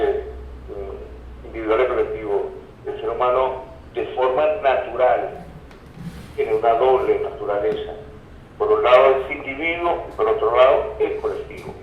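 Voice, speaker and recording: A man giving explanatory interview answers as a guest, hesitating and repeating words as he goes. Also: medium pitch. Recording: muffled, distant, echoey remote line, faint noise.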